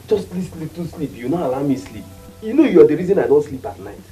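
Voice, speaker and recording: A man's voice: on the high side, big pitch swings, fast talker, warm tone, far from the mic, soft background music, low-quality recording. A man playing a lazy, drowsy husband who whines and pleads to avoid chores. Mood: whining. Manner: whiny, pleading. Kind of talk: skit acting.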